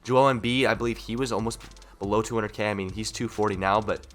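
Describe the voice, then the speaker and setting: A young man hosting a gaming channel, talking through in-game market updates in a rapid, rambling, upbeat way while sick, so his voice sounds off. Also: on the low side, fairly even intonation, fairly bright tone, a little hiss or hum. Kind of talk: casual gaming market commentary.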